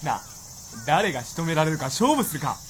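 An excitable, high-pitched samurai guard. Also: male voice, fairly quick, a little hiss or hum. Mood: excited, taunting. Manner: excitable, grovelling before the lord. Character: servile, boisterous male castle gate guards. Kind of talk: Japanese radio drama acting.

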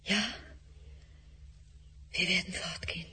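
An actress playing a fearful young woman who speaks in hushed, breathy whispers in a radio play. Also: slow pace. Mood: frightened. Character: frightened young woman Larisa, anxious and fragile.

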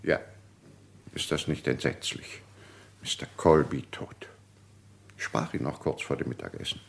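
Casting: formal, hesitant retired-style club major, radio drama, deep gravelly voice. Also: male voice, animated, unhurried, in a whisper, heavily acted. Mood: shaken, hesitant. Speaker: actor in a crime radio play. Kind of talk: German radio crime drama acting.

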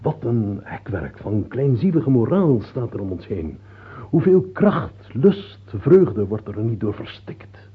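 An unsentimental court doctor in a radio play, deferential yet clinical and given to philosophizing. His husky voice moves through lively swings of pitch. The sound is boxed-in and phone-like. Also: full character voice. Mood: expansive, philosophizing. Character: rational, unsentimental royal physician, male, courteous to king. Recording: muffled narrow-band old radio recording.

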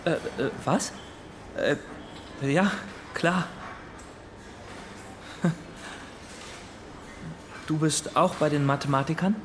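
Man playing high-voiced awkward young math nerd. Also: measured pace, background noise, close to an everyday voice. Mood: awkward, flustered. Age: young adult. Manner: hesitant, stammering. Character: naive awkward young male math nerd, earnest outsider. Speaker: actor. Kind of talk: radio drama dialogue.

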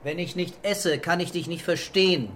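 A man playing a laconic, calm police commissioner with a dry, provocative manner in a crime radio drama. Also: fairly high pitch, moderate pace, noisy background, lightly acted. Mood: coolly provocative.

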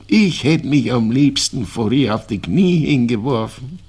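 An actor playing a gentle, regretful old Viennese father in a radio drama, with a husky, gravelly voice and halting speech. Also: mid-range voice, animated. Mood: regretful, sorrowful. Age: older. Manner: slow, halting, repeating words. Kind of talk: 1960s German radio drama acting.

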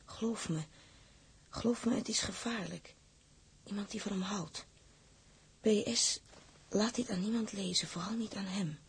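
A woman's voice: medium pitch, a little slow, gravelly, long pauses, slightly rough, heavily acted. An actress playing a seaman's worried, suspicious wife in a Dutch drama, earnest and urgent. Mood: grave, reading aloud. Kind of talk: Dutch broadcast drama acting.

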